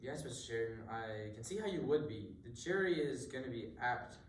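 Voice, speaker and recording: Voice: mid-range voice, lively intonation, fairly quick, close to an everyday voice. An amateur actor playing a courteous, methodical police inspector in a radio mystery. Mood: calmly accusing. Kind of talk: amateur radio drama acting. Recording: echoey room, distant mic, faint noise.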